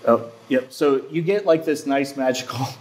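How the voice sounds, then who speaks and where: A man's voice: mid-range voice, animated, moderate pace, darkish tone, rough recording. A man in his forties hosting a local TV talk show, chatting casually with many fillers and asides, recorded on a lapel mic in a studio. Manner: chatty, self-deprecating, lots of fillers. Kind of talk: casual chat. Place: TV studio set.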